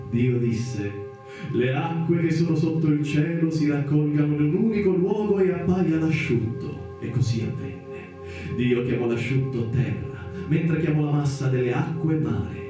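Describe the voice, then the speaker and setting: A man narrating radio theatre, reciting scripture in a solemn, declamatory, measured and theatrical manner. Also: medium pitch. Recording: very reverberant, distant voice over music. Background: music.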